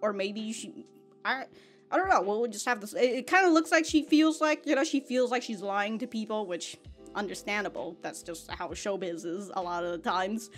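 A young woman casually reviewing an anime episode into a studio mic, rambling with restarts and quick laughs. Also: medium pitch, soft background music. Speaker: anime reaction youtuber. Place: small room, home studio. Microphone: foam-covered studio mic in front.

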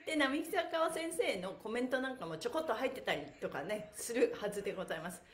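High, lively middle-aged Japanese-speaking woman instructor. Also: quick pace. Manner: cheerful, giggly, exclamatory. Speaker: stretch class host, pantomime performer. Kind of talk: livestreamed exercise lesson with chatty announcements. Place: small bare-walled room indoors. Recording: roomy indoor echo.

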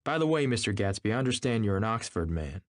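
A man's voice: lowish voice, sing-song, fast talker, dry recording, studio quality, voice pushed up. A man voicing an indignant, sneering, pompous husband in an audiobook. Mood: dismissive, suspicious. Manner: pompous, incredulous, snapping. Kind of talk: audiobook character acting.

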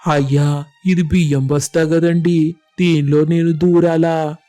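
A man acts out a poor, humble village labourer in a storybook tale. His mellow, slightly high voice pleads respectfully, with soft music behind it. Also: flat delivery, measured pace, no room sound, lightly acted. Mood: humble pleading. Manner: deferential, pleading. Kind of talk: animated storybook dialogue.

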